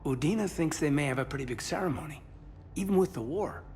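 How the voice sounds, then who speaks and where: A man's voice: expressive, quick pace, a little mellow. A man playing an earnest, modest military officer in a video game, speaking in a friendly, humble way. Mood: humbled, earnest. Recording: low rumbling background noise.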